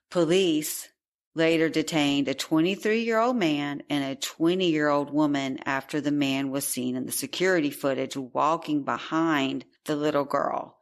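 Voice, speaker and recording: A woman co-hosting a true crime podcast, going through a case in a chatty, conversational way. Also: a bass voice. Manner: chatty, laughs easily. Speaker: podcast co-host.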